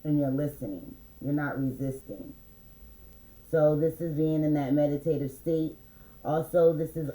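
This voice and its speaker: A man giving a relaxed, meandering spiritual card reading, reading affirmations aloud slowly, with many fillers. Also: fairly high pitch, echoey room, poor audio. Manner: slow, reads affirmations aloud. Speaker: spiritual reader.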